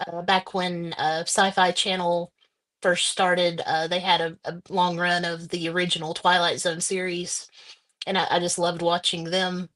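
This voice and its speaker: A woman author being interviewed, giving thoughtful, halting answers with many pauses. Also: fairly low pitch, level tone, fairly bright tone, poor audio. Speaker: guest author.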